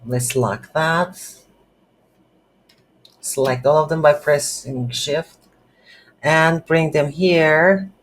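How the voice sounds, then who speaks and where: A coding instructor who is a non-native English speaker, giving an unscripted game development tutorial and thinking aloud haltingly while working. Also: medium pitch, fairly even intonation. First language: not English. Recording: noisy, with keyboard typing. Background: computer keyboard typing.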